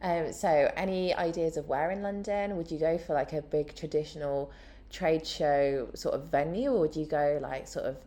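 Deep-ish voiced lady interviewer, upbeat, keeps the chat moving. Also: normal speed, dark tone. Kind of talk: casual interview questions. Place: indoor room, in person.

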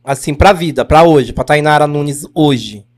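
A podcast host asking questions and reacting with short interjections in casual chat. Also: female voice, low voice, measured pace, a little mellow.

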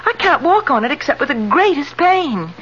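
An actress playing an affectionate but easily indignant younger wife in a 1970s radio drama, theatrical and expressive. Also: female voice, sits high, normal speed, dull audio. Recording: noisy, band-limited 1970s radio recording.

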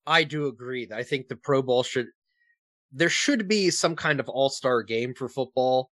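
A man's voice: mid-range voice, normal speed, a bit bright, no room sound. An American man co-hosting a casual sports podcast, opinionated and fond of putting rhetorical questions.

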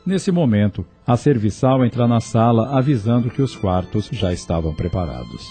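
A man narrating a scripted radio drama in a measured, solemn storytelling style. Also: lowish voice, big pitch swings, mellow, soft background music.

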